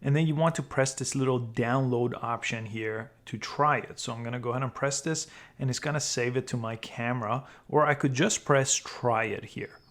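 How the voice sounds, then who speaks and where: Guy doing a how-to app walkthrough, regular mid pitch voice, explains each step. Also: moderate pace, few pauses, a bit bright. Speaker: tutorial host. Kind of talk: how-to screen tutorial narration.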